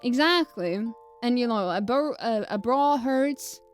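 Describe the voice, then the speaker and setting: Woman, light Swedish accent, Swedish native, slow rambling stream chat, slightly high voice, faint music behind. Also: animated. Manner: rambling, fumbles words, laughs often. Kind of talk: casual live-stream chat.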